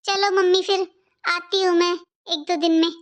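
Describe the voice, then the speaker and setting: A woman's voice: very high voice, flat delivery, measured pace. A woman voicing an impatient young married daughter in a cartoon family drama, nagging and pressing as she talks. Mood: brisk, pressing. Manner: pressing, complaining.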